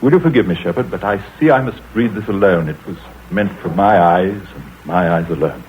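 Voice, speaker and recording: An actor playing an anxious, wealthy middle-aged gentleman who speaks in a tense, hesitant way in an old radio drama. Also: sits low, expressive, fairly quick. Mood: fearful. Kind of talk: 1930s radio drama acting. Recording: scratchy tinny old radio broadcast.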